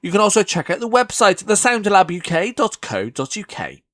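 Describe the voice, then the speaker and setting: A man's voice: high-pitched, very animated, normal speed, fairly bright tone, no echo, studio quality. A male host closing one interview and introducing the next in an upbeat, promotional way. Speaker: podcast host. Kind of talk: scripted link and outro.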